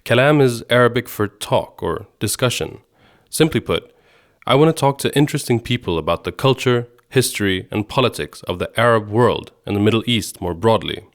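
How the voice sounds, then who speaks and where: Man, low pitch, podcast host, scripted narration, measured, articulate, from Sweden, raised in Egypt and Jordan. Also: animated. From Sweden, grew up in Egypt and Jordan. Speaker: podcast host, radio journalist.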